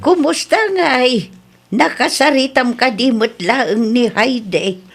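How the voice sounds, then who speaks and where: A woman acting a blunt, scolding mother with a husky voice in a radio drama. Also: fairly high pitch, slow, over music. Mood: concerned.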